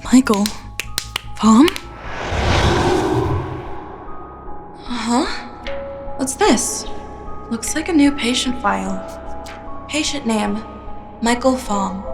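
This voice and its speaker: A woman playing a troubled, anxious teenage high school girl, speaking hesitantly and earnestly in an audio drama. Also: slow pace, gravelly, pauses often. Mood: uneasy. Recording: music under the voice. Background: music.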